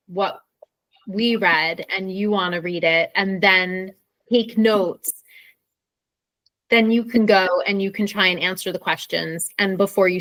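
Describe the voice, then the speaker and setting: A woman's voice: mid-range voice, a bit bright, dry recording, rough recording. An American woman co-hosting an informal English lesson in a conversational teaching style. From Pennsylvania, USA.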